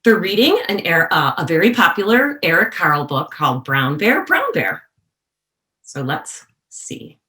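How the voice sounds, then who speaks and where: A woman giving a prepared educational presentation as a workshop presenter, explaining deliberately and repeating key phrases for emphasis. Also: on the low side, sing-song, bright, forceful tone, echoey room.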